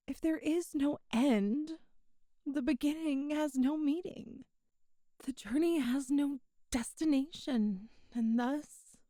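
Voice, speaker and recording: Ancient female demon, wise and regretful, played by a woman: intimate ASMR delivery, unhurried, with a gravelly creak. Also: high-pitched, dry recording, clean studio sound, full character voice. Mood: solemn, earnest. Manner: soft, hesitant, intimate, sighing. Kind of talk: ASMR audio roleplay.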